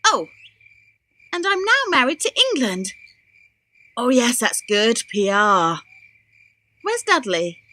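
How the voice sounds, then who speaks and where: A woman's voice: a little high, big pitch swings, slow pace, pauses often, sharp tone, full character voice. An actress playing a vain, self-satisfied queen who speaks regally. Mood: haughty. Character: vain haughty queen, female.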